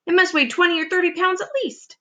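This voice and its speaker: Woman putting on a shrill, bossy storybook aunt. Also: quick pace. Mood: snappish. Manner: snapping, shouting in excited bursts. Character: shrill, bossy, sly, scornful thin aunt. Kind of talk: children's storybook read aloud.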